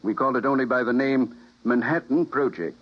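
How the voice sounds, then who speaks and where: An actor playing a calmly menacing male official in a 1950s radio drama, with a husky voice. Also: mid-range voice, normal speed, close to an everyday voice. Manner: smooth, condescending. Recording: dull vintage radio broadcast.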